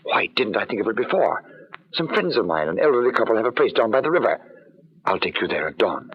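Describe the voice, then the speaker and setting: An actor playing an ardent, anxious gentleman in an old-time radio drama, with earnest, formal, theatrical phrasing. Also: fairly low pitch, sing-song, fast, husky. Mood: ardent pleading. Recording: dull, lo-fi old radio recording.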